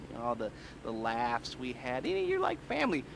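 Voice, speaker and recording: An actor playing a nerdy, excitable heist mastermind in a video game, sardonic and halting, with a gravelly edge and a rambling way of talking. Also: male voice, medium pitch, background noise. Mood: sentimental, rambling. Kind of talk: video game cutscene and mission dialogue acting.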